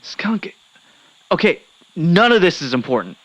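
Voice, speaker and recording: Man playing an exasperated, higher-voiced skeptical reporter. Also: sing-song, moderate pace, no echo, rough recording. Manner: impatient, sarcastic, insistent. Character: exasperated, skeptical young male newspaper reporter. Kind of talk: comedic audio drama acting as interview guest.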